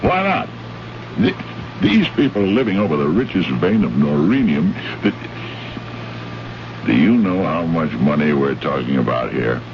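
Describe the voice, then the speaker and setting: An American actor playing a brash, greedy, condescending trade envoy from Earth in an old radio drama, talking in slangy, bullying fashion. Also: male voice, extremely low pitch, big pitch swings, moderate pace, long pauses, exaggerated voice. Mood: gloating, scornful. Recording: noisy, muffled old radio recording.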